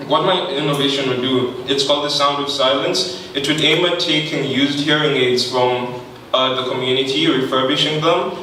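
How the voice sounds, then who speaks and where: A young Kenyan man speaks at a podium in a big, very echoey hall, heard from a distance. His voice is bright and ringing, and he keeps an earnest, measured pace, with some "um"s along the way. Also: medium pitch, a little hiss or hum. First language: not English. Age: young adult. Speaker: student pitching an innovation. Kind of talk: prepared pitch speech. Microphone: podium microphone, recorded from far off.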